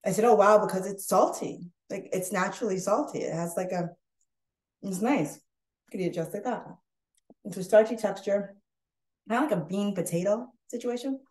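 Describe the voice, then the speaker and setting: A woman's voice: medium pitch, normal speed. A young woman chef chatting loosely while she cooks on a live online demo. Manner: chatty, loose and animated, talks while cooking. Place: home kitchen. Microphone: webcam on a video call. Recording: echoey kitchen.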